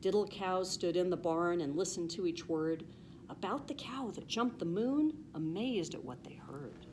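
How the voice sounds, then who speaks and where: An American woman reading a children's storybook aloud with expressive, emphatic, sing-song rhyming delivery. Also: on the low side, normal speed, background noise.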